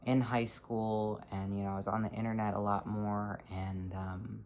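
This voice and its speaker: A person in their twenties with a low voice, talking earnestly in a halting, reflective personal vlog. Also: flat delivery, a little mellow, slight background noise. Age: 20s. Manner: earnest, halting, searching for words. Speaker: vlogger.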